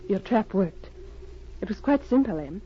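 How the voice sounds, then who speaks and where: An actress plays a cool, businesslike woman agent in an old radio thriller. Her delivery is crisp and frank, in short clipped sentences. The recording is dull, with faint noise behind her. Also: mid-range voice, expressive, normal speed, lightly acted. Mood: brisk. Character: cool, businesslike female secret agent posing as arms buyer. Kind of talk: 1940s radio drama acting.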